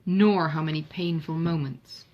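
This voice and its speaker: A woman's voice: low-pitched, a little slow, lo-fi sound. A woman voicing a passionate, idealistic young woman in a novel, speaking in an emphatic, formal manner. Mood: bitter.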